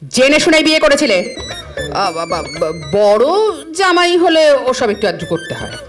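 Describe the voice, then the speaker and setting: A woman's voice: high-pitched, very animated, normal speed. A woman playing a proud, long-married middle-aged wife with a Bengali accent, nagging and reproachful in an audio drama. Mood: bossy scolding. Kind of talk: audio drama acting, domestic comedy. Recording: music under the voice.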